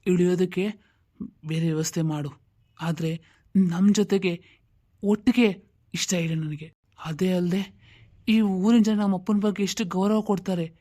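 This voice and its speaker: Woman as a stern, dark-toned stubborn elder brother. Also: on the low side, moderate pace, pauses often, close to an everyday voice. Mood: scornful. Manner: curt, dismissive, confrontational. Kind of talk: dramatic cartoon story dialogue.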